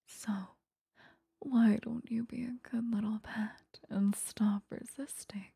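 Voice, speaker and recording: Girl doing a possessive yandere vampire lady, super breathy asmr whisper, soft mellow tone, dry and close up. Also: fairly high pitch, little pitch movement, no echo, exaggerated voice. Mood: softly threatening. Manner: slow, intimate, coaxing, threatening. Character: possessive, obsessive female vampire lover, sweetly menacing. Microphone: close microphone, dry.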